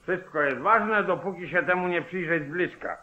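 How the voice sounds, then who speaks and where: An actor playing a weary, grumbling, henpecked small-town sheriff in a 1940s radio drama. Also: male voice, sits high, moderate pace, pushed and bright. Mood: exasperated. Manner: grumbling, exasperated, sighing. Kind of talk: 1940s Polish radio play acting. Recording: tinny, band-limited old 1949 radio recording.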